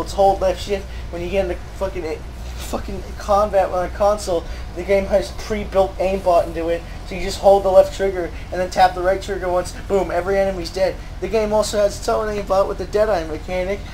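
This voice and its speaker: Woman, mid-pitched, exasperated gaming rant, emphatic and repetitive, faint noise and game ambience. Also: warm tone, poor audio. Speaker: gaming video creator. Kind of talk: angry rant over gameplay.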